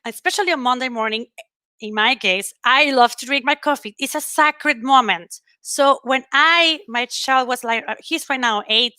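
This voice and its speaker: A woman's voice: sits high, normal speed, sharp tone, clean tone, dry recording. A woman in her thirties from Venezuela with a Venezuelan Spanish accent, telling personal stories earnestly and expressively as a speaker in an online talk. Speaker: panel speaker. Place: indoor room at home, on a video call.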